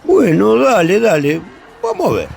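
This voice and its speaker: Man playing a high, swooping, worried Argentine snail. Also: wildly swooping pitch, measured pace, creaky, over music, full character voice. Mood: hesitant, curious. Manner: hesitant, stammering, grumbling. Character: worried, distrustful little male snail, gloomy killjoy. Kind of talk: radio fable acting with music bed.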